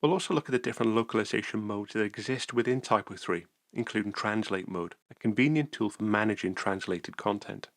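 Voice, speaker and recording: Low gravelly man narrating a screencast. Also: a little fast, few pauses, no room sound, studio quality. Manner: calm, methodical step-by-step explaining. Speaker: software tutorial presenter.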